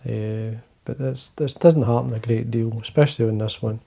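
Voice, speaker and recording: A man with a Scottish accent, talking quietly and casually to camera from his fly-tying desk, rambling with fillers and restarts. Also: medium pitch, fairly even intonation, moderate pace, vocal fry. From Scotland. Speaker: fly-fishing video host.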